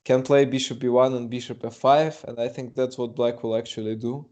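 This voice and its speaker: A man's voice: medium pitch, little pitch movement, a little fast, darkish tone. A young man with a Russian accent, a native Russian speaker, doing live chess commentary in English, chatty and hesitant with many fillers.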